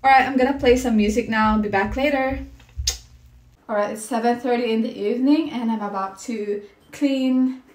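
Relaxed Filipino woman vlogging at home. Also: on the high side, moderate pace. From Philippines. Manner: chatty, soft and relaxed, drops into Tagalog words. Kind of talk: casual vlog chat. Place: bedroom and bathroom at home. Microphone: phone camera at arm's length.

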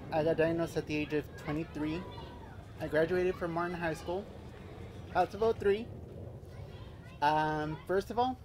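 A man's voice: a little high, darkish tone, slight background noise. Man, late 30s, earnest personal testimony outdoors; loosely strung, heartfelt delivery. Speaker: speaker giving a testimony. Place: outdoor park event. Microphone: handheld mic on stand. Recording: faint outdoor ambience.

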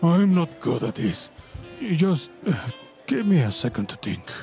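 A man playing a massive, gruff male dog fighter who speaks in a halting, apologetic way. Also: a little high, very animated, dark tone, soft background music, exaggerated voice. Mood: awkward.

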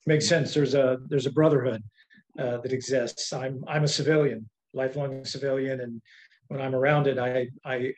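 A man's voice: mid-range voice, steady tone, moderate pace, low-quality recording. Polite man moderating a video call. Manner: polite, deferential, says sir often. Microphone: webcam mic on video call.

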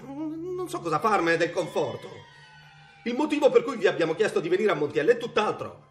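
A man's voice: high-pitched, big pitch swings, brisk, soft background music. An actor playing an imperious, volatile, cruel medieval king in a radio drama, with a haughty, theatrical, menacing delivery.

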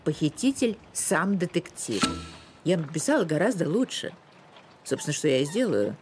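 A woman's voice: low-pitched, very animated, normal speed, background noise. A woman playing a self-important, suspicious amateur detective and writer in a cartoon, pompous and theatrical as she interrogates. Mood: self-important, musing. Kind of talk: animated cartoon acting with narration. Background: music.

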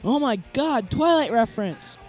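A man's voice: very high-pitched, expressive, moderate pace, faint music behind, rough recording. A man doing comedic character acting for an amateur anime parody dub, with a sarcastic, foul-mouthed manner. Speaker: amateur voice actor dubbing all parts of an abridged anime parody.

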